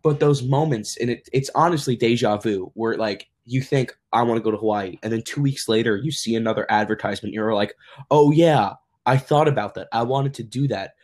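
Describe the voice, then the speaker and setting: A man's voice: mid-range voice, fairly quick, darkish tone. Rambling young man, podcast host. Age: young adult. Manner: rambling, many "like" fillers. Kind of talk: casual chat.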